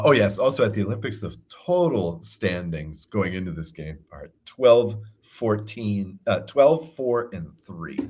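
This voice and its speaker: Husky low-voiced American man, easygoing. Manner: rambling, chuckling storyteller. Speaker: podcast co-host. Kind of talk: casual sports chat.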